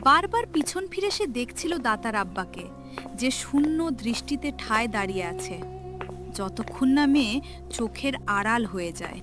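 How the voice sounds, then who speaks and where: A woman delivering scripted, dramatic narration for an animated story as its storyteller. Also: fairly high pitch, moderate pace. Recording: music behind the voice.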